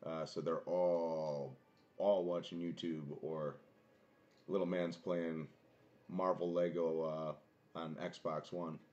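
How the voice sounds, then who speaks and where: Low booming guy on a dull home stream. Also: little pitch movement, slow, a little mellow. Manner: chatty, greets viewers by name. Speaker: card box break streamer. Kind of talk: casual live-stream chat. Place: small home room, indoors.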